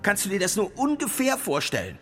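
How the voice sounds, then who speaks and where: An imperious bishop in a radio drama. His voice sits fairly high for a man and pushes with alarmed urgency, snapping out commands over a clearly audible music bed. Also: huge pitch swings, a little fast. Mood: grave, alarmed. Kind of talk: German radio drama acting.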